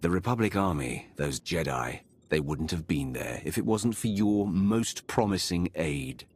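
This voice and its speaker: An actor playing a commanding Sith lord in a video game, gravelly, terse and authoritative, a stern but supportive superior. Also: male voice, low voice, lively intonation, unhurried, darkish tone, full character voice. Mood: grim. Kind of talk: dramatic video game cutscene acting.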